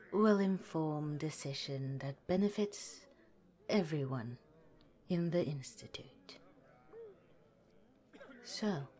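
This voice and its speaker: Lady doing a gentle whispery lab director, slow and mellow, kind and calm. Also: fairly low pitch. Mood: formal, businesslike. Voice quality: soft whispery delivery. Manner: gentle, polite, reassuring. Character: calm caring woman scientist director. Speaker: ASMR roleplay performer. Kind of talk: ASMR audio roleplay.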